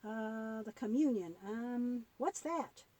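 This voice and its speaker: A woman's voice: fairly high pitch, slow, dark tone, dry recording, pitched below the performer's own voice. A woman voicing an eager, curious young boy puppet in a children's puppet show, easily amazed and full of questions. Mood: curious amazement. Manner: wide-eyed, questioning, exclamatory.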